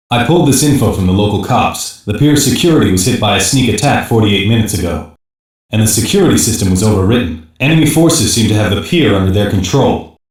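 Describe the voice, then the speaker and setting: A male actor plays an earnest detective in an elite anime police squad. He gives reports in a low, matter-of-fact voice, sticking to procedure. The audio is very echoey and distant. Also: natural voice. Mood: businesslike. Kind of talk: English-dub anime voice lines.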